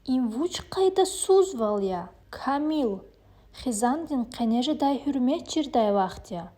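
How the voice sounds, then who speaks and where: Cartoon mother, female actor. High voice, directing manner, family animation dub. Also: animated, slow, mellow, exaggerated voice.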